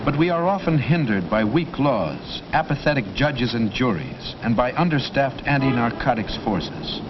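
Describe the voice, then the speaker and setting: A man narrating a 1950s police training film in a formal, measured, authoritative way. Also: mid-range voice, lively intonation. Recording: dull old film soundtrack with loud hiss.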